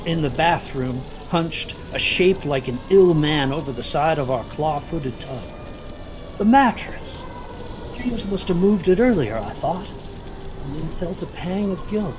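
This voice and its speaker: An actor playing an anxious, guilt-ridden young son, narrating a story in the first person with literary intensity. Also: male voice, on the high side, lively intonation, measured pace, noisy background. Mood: guilty.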